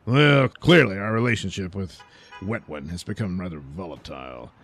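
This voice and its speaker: Casting: man to play a self-important, bossy costumed hero for superhero radio comedy; gravelly, bombastic delivery. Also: low voice, moderate pace, fairly bright tone, soft background music, pitched below the performer's own voice. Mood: scheming, matter-of-fact.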